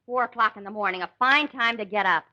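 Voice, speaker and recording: A woman's voice: mid-range voice, quick pace, pushed and bright. A woman playing a breezy, wisecracking trail companion in a 1940s radio comedy. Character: breezy, wisecracking young woman among the Rangers. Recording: dull 1940 radio recording.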